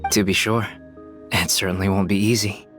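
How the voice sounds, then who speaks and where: Guy playing a composed brainy researcher, anime game, fairly low voice, polite and measured, soft music under. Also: flat delivery, lightly acted. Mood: earnest. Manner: measured, articulate, formal. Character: composed, scholarly young man, polite alchemist-researcher. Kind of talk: English anime game dub. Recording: faint background music.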